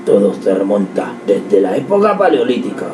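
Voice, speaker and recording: A man reading dramatic scripted radio narration in a solemn, deliberate manner. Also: lowish voice, sing-song. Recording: echoey, distant, noisy amateur recording.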